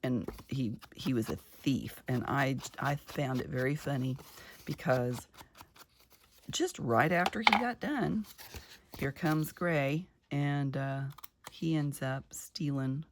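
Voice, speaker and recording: A middle-aged woman chatting casually while crafting, her voice a little gravelly from just waking up, rambling warmly from one story to the next. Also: very deep voice, slow pace, slight background noise. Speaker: craft vlogger.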